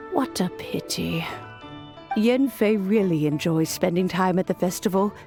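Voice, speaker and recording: An actress voicing a gentle, teasing old granny in a video game, speaking fondly and without hurry. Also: female voice, mid-range voice. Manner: unhurried, fond, gently teasing.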